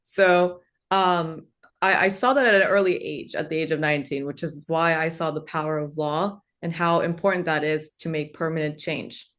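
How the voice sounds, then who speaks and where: A woman's voice: low-pitched, steady tone, fairly bright tone. A woman in her twenties, born in Turkey with Turkish as her first language, speaking earnestly on a webinar panel with frequent ums and uhs. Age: 20s. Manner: earnest, fills pauses with um and uh.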